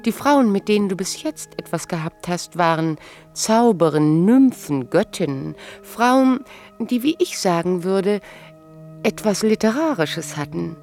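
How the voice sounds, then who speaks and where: A proud, knowing, mature wife in a radio drama. She speaks slowly and deliberately with a creaky edge, irony cutting through each phrase. Faint music sits behind her. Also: on the low side, lively intonation, a little mellow. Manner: measured, ironic, deliberate. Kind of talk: radio play acting, literary dialogue.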